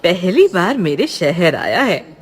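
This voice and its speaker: Audio story role: woman as fond expressive auntie, unhurried, with very animated intonation. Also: on the low side, husky, a faint crowd, full character voice. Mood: fond, teasing. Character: affectionate, emotional aunt of a young man.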